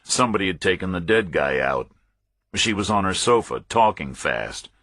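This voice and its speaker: A man with a deep, rough-edged voice narrates a first-person thriller audiobook. His phrasing is terse and clipped, falling into short, separate statements. The recording is very dry, with no room sound around the voice. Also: expressive, normal speed, fairly bright tone, no echo.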